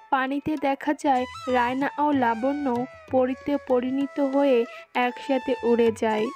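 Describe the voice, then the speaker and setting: Slow, high-voiced woman storyteller. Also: darkish tone. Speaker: narrator. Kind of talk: scripted fairy-tale narration.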